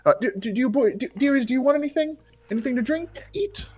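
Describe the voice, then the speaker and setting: A woman's voice: sits high, lively intonation, fast talker, a little mellow, breathy edge, soft background music, pitched above the performer's own voice. A man voicing a doting, fussy motherly older woman who gushes and repeats her greetings. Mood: fussing. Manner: gushing, repeats greetings over and over.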